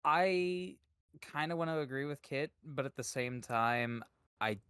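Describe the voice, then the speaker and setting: A man co-hosting a podcast in casual chat, hesitant and thinking out loud as he goes. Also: medium pitch, a little slow, clean tone, no room sound, clean studio sound. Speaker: podcast co-host.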